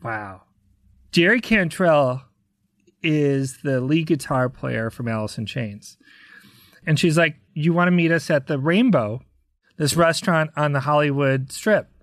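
Give me an American man with a slightly high voice and dry sound, an interview guest spinning casual animated stories. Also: a little slow, no echo. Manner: conversational, acts out quoted dialogue. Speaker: interview guest, rock drummer.

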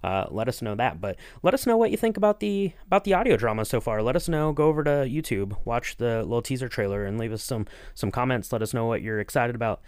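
A man hosting a podcast in casual chat, talking in a halting, rambling way. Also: medium pitch, expressive, darkish tone, clear, resonant tone, no echo, studio quality. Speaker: podcast host and interviewer.

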